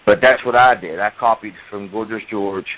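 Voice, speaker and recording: A man's voice: on the low side, fairly even intonation, moderate pace, lo-fi sound. A man in his forties, a professional wrestler, talking at length in an interview, repeating himself as he tells his story. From United States. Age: 40s.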